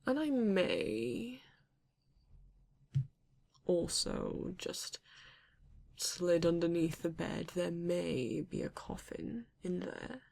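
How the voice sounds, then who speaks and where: A woman playing a courteous, bashful vampire in an intimate ASMR roleplay, speaking haltingly and formally with a gravelly, creaky voice. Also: fairly low pitch, darkish tone, exaggerated voice. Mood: hospitable, bashful. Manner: hesitant, halting, formal yet endearing. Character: courteous, bashful vampire living alone in a castle.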